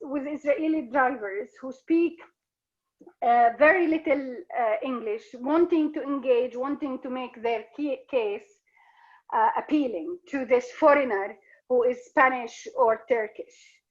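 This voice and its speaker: Brief: woman from Palestine, high voice, earnest account, muffled lo-fi sound. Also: unhurried. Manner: earnest, repeats and restarts phrases. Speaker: speaker. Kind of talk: personal account in a dialogue session.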